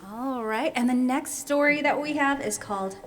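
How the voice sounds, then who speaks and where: A woman's voice: high voice, moderate pace, fairly bright tone, slight background noise. A woman leading a storytime for young children, singing and presenting in an encouraging, sing-along manner. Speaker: children's storytime leader, librarian. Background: plucked guitar music.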